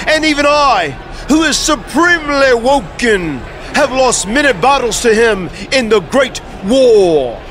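A man playing an eccentric, mystical wrestler in a video game, speaking in a pompous, archaic, drawn-out way. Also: extremely high pitch, animated, fairly bright tone, some chatter behind, slight background noise, heavily acted. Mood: lofty, solemn.

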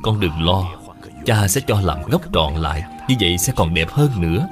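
A man voicing a kindly, wise father and dutiful official in a cartoon, measured and moralizing. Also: low-pitched, lively intonation, music in the background. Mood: gentle, instructive. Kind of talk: cartoon dub acting.

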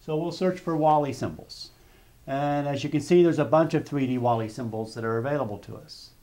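Man narrating a software demo. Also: on the high side, brisk. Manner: steady step-by-step explaining with small restarts. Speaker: software demonstrator. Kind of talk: software tutorial screencast narration.

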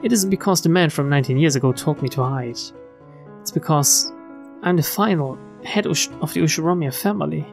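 Creaky-voiced streamer reading a visual novel. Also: mid-range voice, fairly quick. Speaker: streamer reading a game aloud. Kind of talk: dramatic read-aloud of visual novel text. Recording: game music behind the voice.

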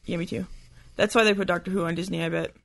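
High-pitched man in casual podcast chat. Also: fairly even intonation, fast, gravelly, fairly bright tone. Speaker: podcast co-host.

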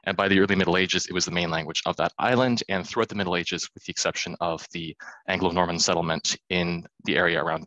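A man in his thirties giving an academic conference talk over a video call, quick and self-deprecating with many fillers. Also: sits low, bright, forceful tone, dry recording, rough recording. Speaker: conference speaker. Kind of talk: academic presentation.

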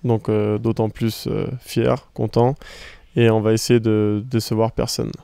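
Young French footballer, gravelly and flat, hesitant, outdoor handheld-mic interview. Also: male voice, on the low side, unhurried, no echo, studio quality. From France. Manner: hesitant, many fillers, calm. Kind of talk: post-match style interview. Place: outdoors among trees. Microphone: handheld microphone held by interviewer.

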